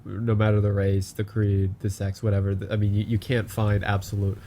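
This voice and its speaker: Male co-host, low mellow voice, stammers and repeats words. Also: monotone, a little fast, a little hiss or hum. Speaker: podcast co-host. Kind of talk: casual political chat.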